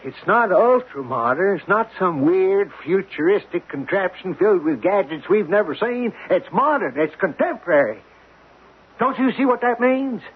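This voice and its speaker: An actor playing a frightened, fatalistic rig worker in an old radio drama, pleading with husky anguish. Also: male voice, high voice, animated, normal speed. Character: frightened, fatalistic rig worker refusing to know his fate. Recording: muffled, narrowband old radio recording.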